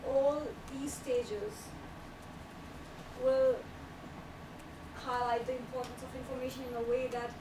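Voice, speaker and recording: A woman's voice: high voice, level tone, takes it slowly, pauses often. A young woman giving an earnest, explanatory talk on technical writing at a small meetup, recorded by a far camera in a small room. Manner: earnest, explanatory, laughs lightly at times. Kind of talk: presentation talk. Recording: echoey room, voice from afar, loud background noise.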